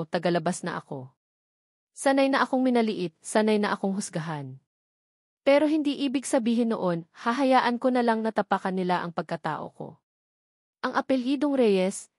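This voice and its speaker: A woman's voice: mid-range voice, darkish tone, dry recording, close to an everyday voice. A woman plays a dignified young man in an audiobook love drama. He is earnest, firm and respectful, with a dry recording around the voice. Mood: defiant. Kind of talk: audiobook melodrama acting.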